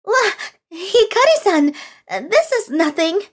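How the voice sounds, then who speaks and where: A woman's voice: very high-pitched, big pitch swings, takes it slowly, a little hiss or hum, heavily acted. A woman voicing a meek, hardworking young office part-timer who narrates her own story in a manga dub and stammers when flustered. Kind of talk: scripted first-person manga dub narration.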